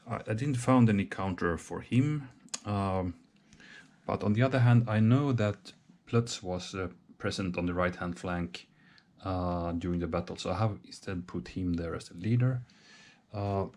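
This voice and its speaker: A deep-voiced man talks through a board game setup on his own, slowly and without hurry. He thinks aloud, hesitating, pausing and restarting his sentences as he works things out. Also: darkish tone. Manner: hesitant, many pauses and restarts. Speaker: solo board game player. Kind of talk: thinking aloud while setting up a wargame.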